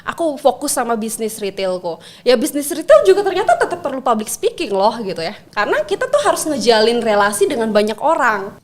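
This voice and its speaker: A young adult woman chatting casually as an interview guest, expressive and quick to laugh. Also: on the high side. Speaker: podcast guest, MC and public speaking trainer.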